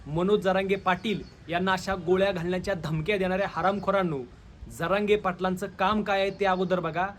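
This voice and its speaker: A man's voice: high voice, fairly even intonation, brisk, fairly bright tone, a little hiss or hum. A man with a Marathi accent from Maharashtra delivers confrontational, rhetorical opinion commentary straight to his viewers. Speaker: video commentator, channel host.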